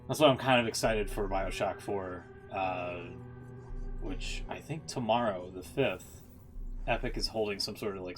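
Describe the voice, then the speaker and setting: A man chatting casually as a streamer, giving rambling, sweary commentary with asides. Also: deep voice. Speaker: streamer reacting to game lore. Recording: music playing behind the voice. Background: music.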